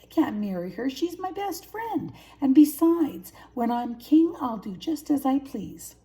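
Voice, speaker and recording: A woman's voice: sits high, big pitch swings, unhurried, warm tone, voice pushed up. A woman voicing an eager, boastful young male lion cub in a storybook, full of childlike bravado. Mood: indignant, cocky.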